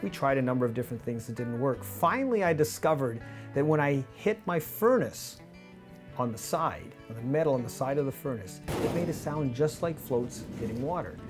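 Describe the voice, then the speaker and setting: A man in his fifties with a North American accent presents a scripted behind-the-scenes explainer in a measured, clear manner. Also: medium pitch, animated, over music. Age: 50s. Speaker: radio drama director and presenter. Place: small indoor studio office with monitors. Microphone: lavalier on shirt.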